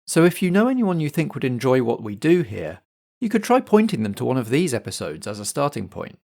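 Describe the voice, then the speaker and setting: A man's voice: mid-range voice, big pitch swings, fairly quick, vocal fry, darkish tone. A man hosting a podcast, giving a scripted introduction to the show. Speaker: podcast host.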